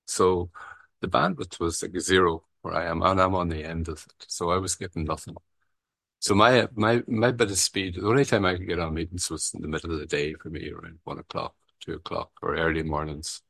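A man's voice: low voice, fairly even intonation, fairly quick, vocal fry, fairly bright tone, slightly rough, dry recording. A man with an Irish accent telling, in a frank and rambling way, how he started a recovery meeting, often saying "you know" and swearing casually. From Ireland. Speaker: recovery meeting founder sharing his story. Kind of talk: casual personal share.

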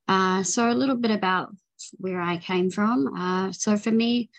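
A woman's voice: mid-range voice, measured pace. A young woman with a New Zealand accent, sharing her recovery story in a tired, rambling way full of ums and restarts. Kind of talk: personal recovery share.

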